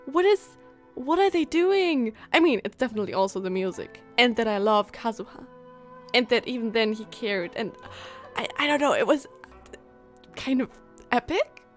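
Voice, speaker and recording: A woman game streamer giving casual live reactions, thinking aloud in an expressive, halting way. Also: fairly high pitch, soft background music.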